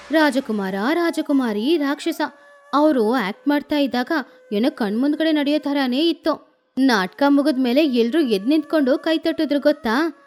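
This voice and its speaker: Bubbly pigeon auntie from a cartoon, woman doing it, talks all excited, high voice, light music behind. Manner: lively, excited storytelling. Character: chatty grown-up woman pigeon, cheerful aunt.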